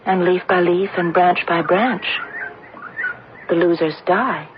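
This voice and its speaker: An actress playing a gentle, thoughtful, timid wife, speaking politely and earnestly in an old-time radio drama. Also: female voice, on the low side, steady tone, slow pace, noisy background. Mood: gently philosophical. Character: gentle, thoughtful, timid married woman with philosophical bent. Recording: muffled vintage radio recording.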